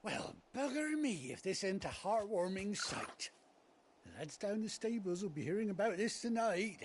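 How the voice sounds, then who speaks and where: A high-voiced, animated British trader. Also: male voice, normal speed, a little hiss or hum. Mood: pleased. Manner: folksy, hearty, colloquial. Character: chatty, kind-hearted male cart trader, rustic and jovial. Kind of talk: video game cutscene acting. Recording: game music behind the voice.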